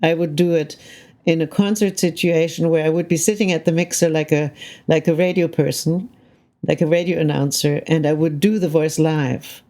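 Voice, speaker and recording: A woman's voice: deep voice, fairly even intonation, normal speed, dark tone. An older woman, a soundscape composer, being interviewed, speaking thoughtfully and often checking in with "right?". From Canada. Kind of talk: reflective conversational interview.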